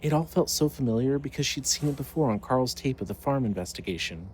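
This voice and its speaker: A man's voice: mid-range voice, lively intonation, fast talker, darkish tone. A man narrating a scripted horror story as its storyteller. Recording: clearly noisy ambient background.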